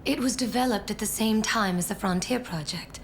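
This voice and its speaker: A woman voices a grave, long-lived widow in a video game drama. Her mid-range voice is measured and solemn as she explains things. Faint noise sits behind her. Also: mid-range voice, lightly acted. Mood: solemn, reflective. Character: grave widowed female survivor who has outlived her years. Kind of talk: English-dubbed video game cutscene acting.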